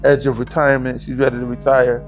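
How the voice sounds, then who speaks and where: A man's voice: medium pitch, flat delivery, fast, narrow, tinny audio, faint music behind. A man in his late forties hosting a podcast, telling a long, rambling, profane story in casual chat. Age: 40s. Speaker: podcast host.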